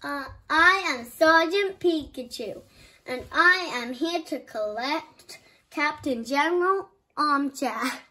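A very high, squeaky little creature sergeant for a toy-soldier story. The delivery is slow, dutiful and formal, with a bright, piercing tone. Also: male voice, full character voice.

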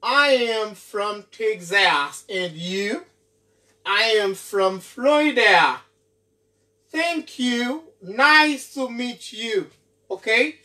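A young man teaching an English lesson at a whiteboard, slowly repeating each phrase and often checking with "ok?". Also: sits high, animated, sharp tone. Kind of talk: classroom lesson. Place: small indoor room at a whiteboard. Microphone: camera mic from a few feet away. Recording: voice from far off the mic.

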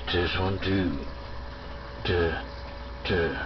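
Slow, low-voiced laconic man, heavy noise. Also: steady tone. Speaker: CB radio hobbyist filming his radio. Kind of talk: casual chat, radio mic checks. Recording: noisy, dull camera recording.

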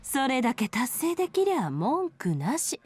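An actress playing a proud, casual, teasing big sister who tends a bar, in a video game drama. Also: female voice, high voice, big pitch swings, measured pace, breathy edge, faint music behind, heavily acted. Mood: flippant.

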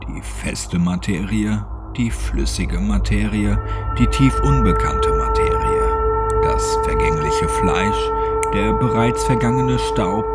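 Deep-voiced male horror narrator. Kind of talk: scripted audiobook narration of a creepypasta. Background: background music.

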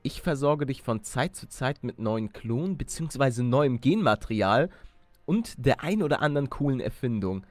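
A man voicing a courteous, scheming duck scientist in a video game, speaking in formal, measured phrases. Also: medium pitch, expressive, dry recording, soft background music, natural voice. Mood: businesslike. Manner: formal, measured, deliberate wording.

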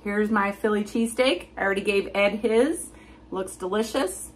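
A woman's voice: medium pitch, normal speed, a little hiss or hum, poor audio. Chatty older woman vlogging cooking. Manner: chatty, explains each step plainly with fillers. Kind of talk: casual cooking vlog. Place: home kitchen.

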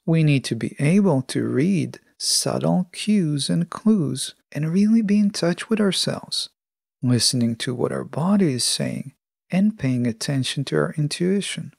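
A podcast host giving a scripted solo talk in a measured, instructive manner. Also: medium pitch, expressive, vocal fry, a little mellow, dry recording, clean studio sound.

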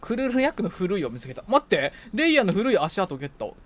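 A man casually chatting and reacting aloud as he plays a video game with a friend, excitable in manner. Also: high-pitched, fast talker. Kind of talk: casual co-op gaming chat. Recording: game music behind the voice. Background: video game music.

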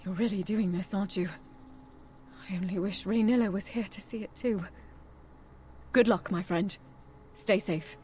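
A woman playing an earnest, caring ally in a video game, speaking in a measured, sincere way. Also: medium pitch, fairly even intonation, darkish tone, slight background noise, close to an everyday voice. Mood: solemn, caring. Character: earnest, caring female ally planning a rescue. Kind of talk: video game character acting.

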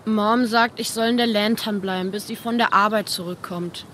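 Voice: medium pitch, fairly even intonation, normal speed, bright, forceful tone, a little hiss or hum, natural voice. A performer voicing an eager, polite young boy with a slightly shy manner in video game dialogue.

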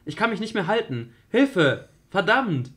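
A man's voice: big pitch swings, moderate pace, husky. A man voicing Julie, a reckless, mocking teenage girl, also reading the stage directions of a radio play. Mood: pleading. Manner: taunting, shouting, high-pitched outbursts.

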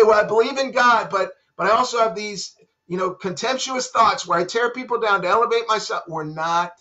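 A man in his fifties, a pastor, preaching a sermon from a room at home into a webcam, dropping soft and low for emphasis. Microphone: webcam at desk. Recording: dull lo-fi webcam audio.

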